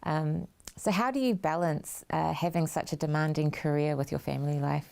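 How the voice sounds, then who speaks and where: A woman interviewer putting careful, clearly phrased questions to her guest. Her voice sits low and smooth, with a warm, mellow tone and a touch of fry. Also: fairly even intonation, normal speed. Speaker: interview host.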